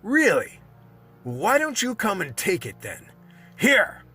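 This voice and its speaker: A man's voice: sits high, wildly swooping pitch, slow pace, pushed and bright, slightly rough, soft background music, heavily acted. A man playing a tough survivor who narrates his fight with vampires in a first-person radio play. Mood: urgent, shouting.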